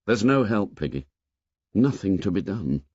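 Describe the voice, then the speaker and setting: Boy chief at the end of his rope, man doing the voice, shouts then mutters. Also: on the low side, big pitch swings, unhurried, slightly rough, no echo, dull audio, full character voice. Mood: despairing. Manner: shouting, then quiet and despairing.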